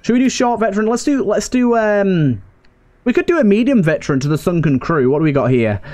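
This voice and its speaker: A man's voice: high voice, lively intonation, brisk, a little mellow. A man giving casual video game commentary and storytelling, rambling and thinking aloud as he plays. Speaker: host of a video game roleplay series. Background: game music.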